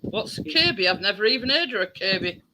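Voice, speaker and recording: A woman's voice: mid-range voice, expressive, fairly quick, background noise. A woman hosting a casual live chat, rambling with lots of fillers and frequent laughs. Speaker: live stream host.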